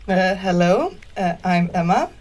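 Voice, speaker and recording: Lower-voiced young Swedish woman. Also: animated, a little slow, a little mellow. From Sweden. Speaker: volunteer radio guest. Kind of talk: casual radio chat.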